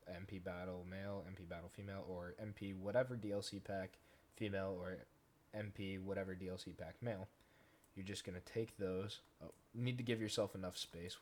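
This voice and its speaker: A man casually talking through a software tutorial step by step, in an informal way. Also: deep voice. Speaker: tutorial host, gaming content creator. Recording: noisy home recording with keyboard clicks.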